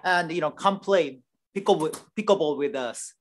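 A man's voice: on the high side, moderate pace. A man from Japan, a native Japanese speaker with a Japanese accent, briefly addressing a public meeting. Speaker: public commenter. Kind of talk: short spoken comment at a public meeting.

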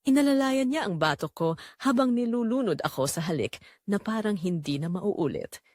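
A woman's voice: mid-range voice, lively intonation, normal speed, a little mellow, no echo. A woman with a Filipino accent reading a first-person romance drama as its narrator, in a scripted dramatic storytelling style.

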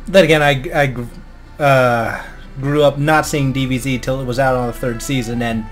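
A man's voice: mid-range voice, steady tone, normal speed, soft background music. A young man giving casual, off-the-cuff commentary as a reaction host in a small room at home.

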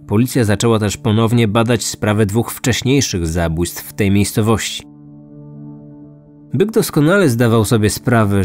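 A man hosting a true-crime podcast, narrating from a script in measured storytelling style. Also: lowish voice, a little mellow. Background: background music.

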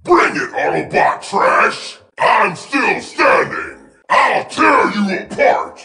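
Screaming, very high, gravelly war robot. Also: male voice, wildly swooping pitch, slow pace, reverberant, full character voice. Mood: menacing taunts. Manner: shouting, menacing, mocking. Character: fierce, taunting male robot soldier, bloodthirsty and scornful. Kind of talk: video game combat barks.

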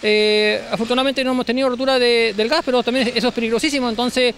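An older man answers a street interview, his voice very high for a man and his words tumbling out quickly. He stumbles and restarts as he talks into handheld microphones, with faint street noise behind. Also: steady tone, fairly bright tone. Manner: halting, with restarts and fillers. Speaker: interviewed resident. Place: outdoors on a city street. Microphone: handheld reporter microphones.

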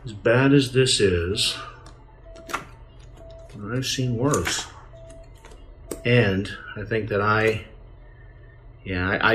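An actor playing a stern president of a future Earth in an audio drama, authoritative and clipped in manner. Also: male voice, low-pitched, fairly bright tone.

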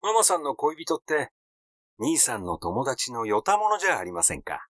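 A man's voice: mid-range voice, huge pitch swings, normal speed, fairly bright tone, no room sound, clean studio sound. A man voicing a cool, accusing young sister in a story reading, with pointed, feminine phrasing.

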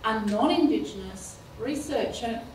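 A middle-aged woman speaking on a conference panel, halting with many fillers and restarts. She speaks into a handheld mic. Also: on the high side, expressive, a little mellow, slight background noise. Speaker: keynote speaker. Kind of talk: panel discussion. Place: lecture hall, indoors. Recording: echoey hall from a distance.

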